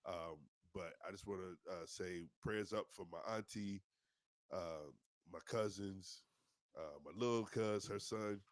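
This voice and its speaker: A man co-hosting a podcast, a self-described loudmouth who sounds stuffy from a cold. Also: deep voice, unhurried, dry recording. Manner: loud, playful banter. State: stuffy, congested. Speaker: podcast co-host. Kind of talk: casual podcast chat.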